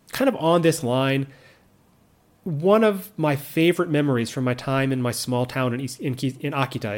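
A man in his thirties, an English speaker, talking earnestly and reflectively about his own experiences, with frequent restarts and asides. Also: medium pitch. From United States. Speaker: vlogger living abroad. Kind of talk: reflective personal monologue.

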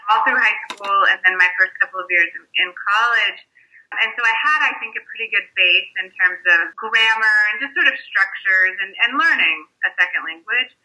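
A woman's voice: medium pitch, moderate pace, pushed and bright, poor audio. An American woman talking as an interview guest in a casual, reflective way, often restarting her sentences. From United States.

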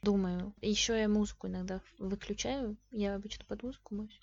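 A woman's voice: medium pitch, fairly even intonation, brisk, darkish tone. A young woman co-hosting a podcast, talking in a chatty, hesitant way with many fillers. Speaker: podcast co-host. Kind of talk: casual chat. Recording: dull lo-fi podcast recording.

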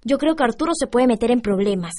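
An actress with a Peruvian accent playing an outspoken, cautious young student niece in a radio drama, blunt and questioning. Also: female voice, on the high side, animated, fast talker, mellow. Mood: alarmed, skeptical.

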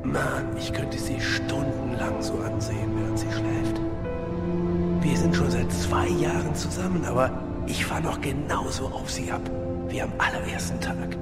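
An actor playing an easygoing, devoted police sergeant in an audio drama. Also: male voice, mid-range voice, steady tone, unhurried, loud music behind the voice, natural voice. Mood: tender, hushed.

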